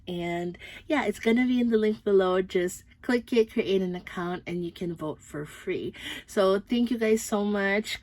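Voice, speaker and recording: A woman's voice: mid-range voice, a little mellow. A young woman from the Philippines with a Filipino accent, chatting upbeat through a fragrance review with many fillers. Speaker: beauty vlogger. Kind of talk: casual product review.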